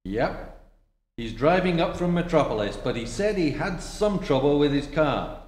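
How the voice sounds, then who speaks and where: A man's voice: fairly high pitch, lively intonation, normal speed, a little mellow, a little hiss or hum, close to an everyday voice. A man playing a folksy, laconic doorman in a 1940s-style radio play. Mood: easygoing. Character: folksy easygoing male theatre doorman. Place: theatre stage before an audience. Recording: echoey hall, live stage performance.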